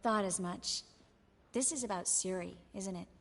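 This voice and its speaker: A woman's voice: mid-range voice, moderate pace, natural voice. Woman acting composed sorceress in reverberant space. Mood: earnest, hushed. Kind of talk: video game voice acting.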